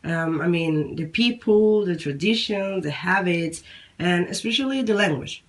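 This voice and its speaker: A woman interviewing a teenager, asking measured questions and rephrasing them as she goes. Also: lowish voice, lively intonation.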